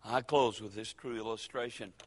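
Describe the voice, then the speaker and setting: An older man preaching a folksy sermon as pastor, with dramatic pauses and sudden loud rises. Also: lowish voice, fairly even intonation, in a large hall. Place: church.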